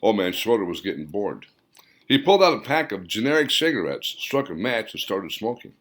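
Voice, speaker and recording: A man's voice: lowish voice, big pitch swings, a little fast, a bit bright. A man reading his own fiction audiobook in a dramatic, scripted storytelling delivery.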